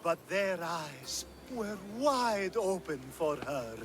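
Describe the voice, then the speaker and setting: An actor playing a flamboyant, flirtatious ancient Greek groom-to-be in a video game, with a theatrical, teasing way of drawing out words. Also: male voice, high voice, sing-song, over music, exaggerated voice. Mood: lovestruck, poetic. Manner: theatrical, drawn-out, teasing delivery. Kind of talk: video game cutscene acting.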